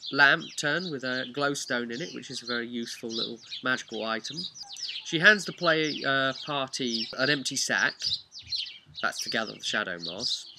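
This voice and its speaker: A man casually retelling a tabletop role-play story in a halting, chatty way with wry asides. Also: mid-range voice. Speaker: host of a tabletop role-play campaign recap. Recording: clear background noise with birdsong.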